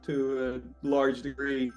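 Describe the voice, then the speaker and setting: A man being interviewed as a musician, talking casually and haltingly as he searches for the right words. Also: medium pitch, completely flat delivery, faint music behind.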